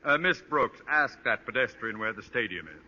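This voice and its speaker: Pompous middle-aged principal, forceful piercing voice, sputtering, hot-tempered, 1940s radio comedy, dull reverberant old recording. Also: male voice, mid-range voice, lively intonation, moderate pace. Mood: pompous, commanding. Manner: pompous, sputtering when outraged. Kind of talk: 1940s radio sitcom acting.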